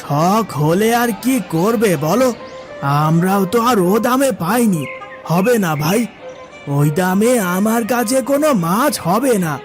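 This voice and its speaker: A man playing a blunt but soft-hearted village fish seller in a cartoon fairy tale, plain-spoken as he haggles. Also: high voice, lively intonation, slow pace, faint music behind. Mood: dismissive. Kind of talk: animated fairy tale acting.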